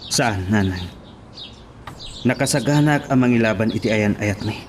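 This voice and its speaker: A man's voice: on the low side, moderate pace, slight background noise, close to an everyday voice. A man playing a devoted, defiant young policeman son in an audio drama, earnest and pleading. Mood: determined, lovelorn.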